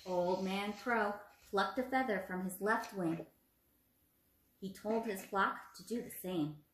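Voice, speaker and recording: A woman's voice: mid-range voice, takes it slowly, slight background noise. A woman in her twenties, an encouraging teacher reading aloud and putting questions to young children. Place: small room at home, indoors. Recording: roomy home recording.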